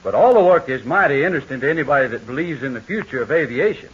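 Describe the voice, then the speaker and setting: A man's voice: medium pitch, animated, rapid-fire, slightly rough. Earnest young man on old radio. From North Carolina. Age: young adult. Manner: polite, earnest. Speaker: guest student pilot. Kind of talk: scripted radio interview. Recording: dull old radio transcription with faint hiss.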